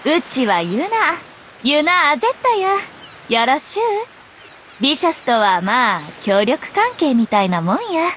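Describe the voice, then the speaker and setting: A woman's voice: high-pitched, very animated, a little slow, a bit bright, slight background noise, exaggerated voice. A woman playing a breezy, teasing young journalist and playful liar in an anime game, speaking in a coquettish, lilting Kansai dialect. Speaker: game voice actor. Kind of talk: Japanese anime game voice acting.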